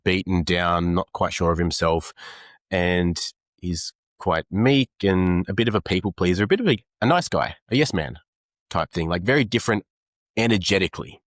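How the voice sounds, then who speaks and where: A man's voice: sits low, dry recording, clean studio sound. An Australian man, a men's coach, explaining ideas earnestly in an interview, with many fillers. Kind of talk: conversational interview.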